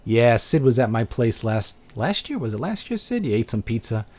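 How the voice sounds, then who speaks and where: A man's voice: mid-range voice, big pitch swings, moderate pace, darkish tone. A middle-aged man from Brooklyn with a New York accent, chatting casually on a webcam and answering viewer questions. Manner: chatty, rambling, thinks aloud with fillers. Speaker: host of a live video chat.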